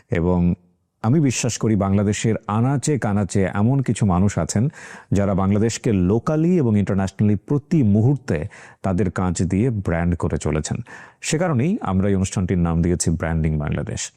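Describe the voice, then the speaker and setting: A man hosts a life-story interview with a Bangladeshi accent. His voice sits on the low side and has a dark, mellow tone. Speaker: talk show host.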